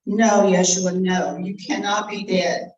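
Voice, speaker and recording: A grieving woman disciple mourning at the cross, acted by a woman, anguished and halting in her pleas. Her voice sits low and is heard from across an echoey room through a distant microphone, with faint background hiss. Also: highs cut off, lightly acted. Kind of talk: live dramatic monologue.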